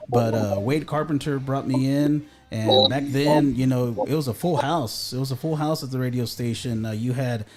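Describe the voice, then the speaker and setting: A man's voice: medium pitch, moderate pace, few pauses, music in the background. Guy in his 30s, easygoing, laughs easy, tosses in spanish words. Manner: relaxed, laughs often, mixes in Spanish words. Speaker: radio station manager, guest. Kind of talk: casual studio chat.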